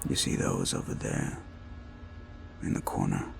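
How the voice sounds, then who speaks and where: A man playing a cruel, menacing slasher killer in a horror audio roleplay, taunting a captive in a gravelly, breathy half-whisper. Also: a little slow, noisy background, close to an everyday voice. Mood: sadistic, threatening. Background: music.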